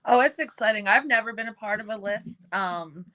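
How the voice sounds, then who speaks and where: Bright-voiced brash New York woman. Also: mid-range voice, a little fast. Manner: laughs often, brash and playful. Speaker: professional wrestler guest. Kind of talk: casual podcast interview.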